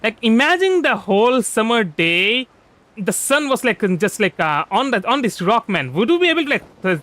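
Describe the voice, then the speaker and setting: A man's voice: very high voice, sing-song, normal speed, no room sound. A man chatting casually through a game livestream, rambling and often restarting his phrases. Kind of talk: casual gameplay livestream commentary. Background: soft background music.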